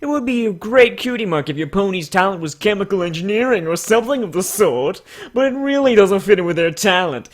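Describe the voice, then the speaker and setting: A man performs a stern male critic for a comic dramatic reading. The voice is on the higher side, and the delivery is formal and articulate, handing down measured criticism with deliberate care. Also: lively intonation. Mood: formal, critical.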